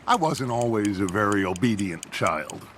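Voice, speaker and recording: A man playing a charismatic, wistful middle-aged American outlaw gang leader in a Western video game, speaking in theatrical, deliberate phrases. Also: lowish voice, animated, a bit bright. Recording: water and boat noise behind.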